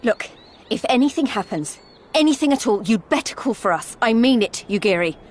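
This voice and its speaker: A woman's voice: a little high, lively intonation, a little fast, a little hiss or hum, close to an everyday voice. A woman playing an earnest, impulsive young female fighter in a video game, speaking directly and plainly. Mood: worried, insistent. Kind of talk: video game cutscene acting.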